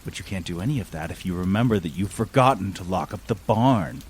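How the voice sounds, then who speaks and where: A man's voice: sits low, lively intonation, a little fast. A man narrating a scripted radio play in a mock-serious storytelling manner. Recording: clear rain noise behind. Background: falling rain.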